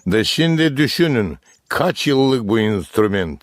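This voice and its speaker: A man's voice: mid-range voice, very animated, unhurried, dry recording. Kindly old village grandfather, dry sound. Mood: reminiscing. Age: older. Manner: encouraging, storytelling. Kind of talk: cartoon character acting.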